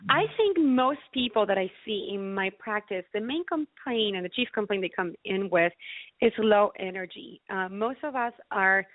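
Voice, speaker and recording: A woman's voice: mid-range voice, normal speed, no echo. An earnest woman, the practitioner guest you would hear on a wellness call-in, coming through a narrow, phone-like line with no room around her. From Ecuador. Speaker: interview guest, naturopathic physician. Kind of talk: conversational health explanation.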